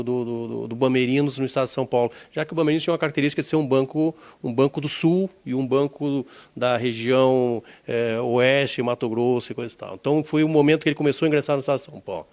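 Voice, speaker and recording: A man's voice: medium pitch, a little mellow. A middle-aged man from Brazil, an insurance executive looking back on his career in an oral history interview, reflective and leaning on key words. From Rio Grande do Sul, Brazil.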